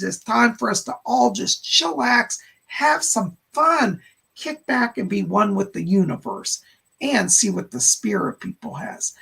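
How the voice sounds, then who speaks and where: A man with a hoarse, raspy voice, rough and gravelly, hosts a casual psychic livestream from his home studio. He gushes over each viewer with bursts of delight, sounding worn and tired. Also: extremely high pitch, pushed and bright. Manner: effusive, gushing greetings with frequent squeals of delight. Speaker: psychic medium hosting a live stream.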